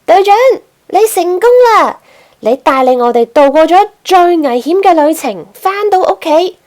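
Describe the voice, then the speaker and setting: A woman's voice: very high voice, expressive, moderate pace, darkish tone, pitched above the performer's own voice. A woman voicing a spirited, encouraging young female penguin in a storybook, with playful exclamations. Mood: delighted.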